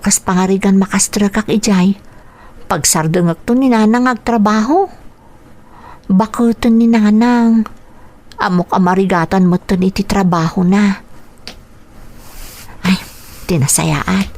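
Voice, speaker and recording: A patient, caring elder sister. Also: female voice, medium pitch, a little mellow. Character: patient, caring elder sister minding her younger brother. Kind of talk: Ilocano radio drama acting with narrated inner thoughts.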